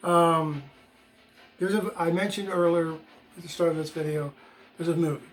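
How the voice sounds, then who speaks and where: A man's voice: a little high, fairly bright tone, rough recording. An American man in his sixties giving an opinionated explanatory monologue to viewers, emphatic and repeating phrases for stress. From United States. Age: 60s. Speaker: video channel host, former teacher.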